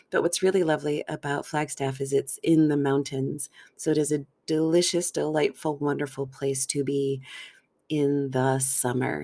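A woman's voice: low voice, darkish tone. A woman hosting her own wellness podcast as a therapist and coach, talking alone in an affirming way with playful asides, seated in a comfy chair indoors. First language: English. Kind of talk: solo podcast monologue.

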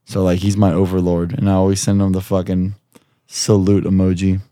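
A young man hosting a podcast, hoarse from screaming, talking in a rambling, profane, jokey way. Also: low-pitched, monotone, fairly quick, warm tone, no echo, studio quality. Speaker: podcast host. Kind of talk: casual chat.

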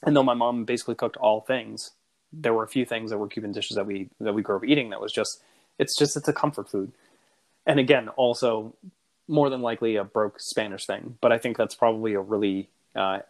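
A man's voice: fairly low pitch, darkish tone. A man co-hosting a podcast, chatting casually in an enthusiastic, rambling way that often restarts and corrects itself. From United States. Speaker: podcast co-host. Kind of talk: casual chat.

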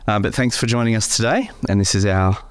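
Deep croaky-voiced man co-hosting. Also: fast, dry recording, studio quality. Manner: relaxed, hesitant with many fillers. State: croaky from a summer cold. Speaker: podcast co-host. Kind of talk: casual faith podcast chat.

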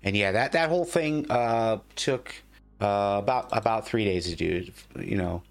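Halting low-voiced male game master. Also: gravelly. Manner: halting, repeats words. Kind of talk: improvised tabletop game narration.

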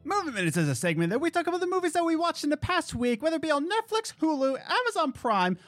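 A male podcast co-host in casual chat who shifts between a low relaxed voice and loud, high bursts when excited. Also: fast talker, no echo, soft background music. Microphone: studio podcast mic.

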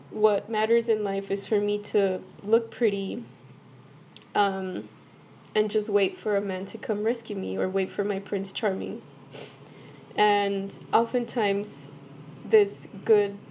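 A woman from El Paso, Texas, hoarse from a cold, talking casually and personally to her viewers, leaning on "you know" and "right?". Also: medium pitch, warm tone, slight background noise. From El Paso, Texas, USA. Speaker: vlogger. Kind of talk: casual personal talk to camera.

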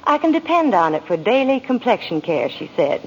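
A woman's voice: mid-range voice, big pitch swings, brisk, husky. A woman doing scripted 1940s radio advertising dialogue as a spokeswoman. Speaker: commercial spokeswoman. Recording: dull, echoey old radio recording.

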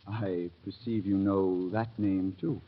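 A man acting in a 1930s radio drama as a sly, insinuating informer who probes with theatrical, deliberate pauses. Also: deep voice, steady tone. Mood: sly, probing. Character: sly, insinuating male informer, probing and needling. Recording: dull, muffled vintage radio recording.

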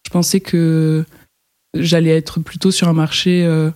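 A woman's voice: sits low, flat delivery, unhurried, dark tone. A woman, a fashion model, talking casually in an interview, hesitant and full of fillers and restarts.

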